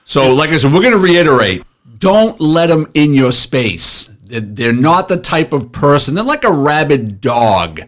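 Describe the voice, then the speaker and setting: A man's voice: a little high, very animated, unhurried, a bit bright. A middle-aged man co-hosting a podcast, telling anecdotes in a blunt, emphatic, casual way. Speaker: podcast co-host, retired police officer.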